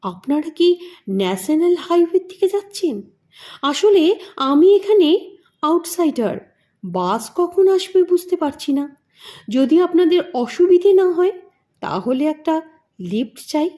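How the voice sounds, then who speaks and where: A woman's voice: extremely high pitch, sing-song, takes it slowly, pauses often, dark tone, voice pushed up, full character voice. A woman voicing an earnest, polite middle-aged male photographer in an audio story, speaking formally with English phrases mixed in. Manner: formal, courteous, emphatic, mixing in English phrases.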